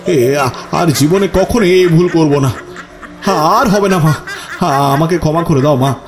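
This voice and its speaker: A man's voice: a little high, faint music behind, natural voice. A man acting as the haunted narrator of a ghost story, telling his own ordeal in dramatic, suspenseful first person. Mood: terrified pleading. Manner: slow, suspenseful, with pleading stammering outbursts in dialogue.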